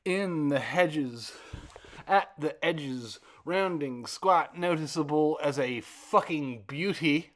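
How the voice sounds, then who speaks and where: A man reciting his own poem as spoken word, in deliberate, broken phrases with halting pauses and repeated words. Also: on the high side, fairly bright tone. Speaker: poet reciting own work.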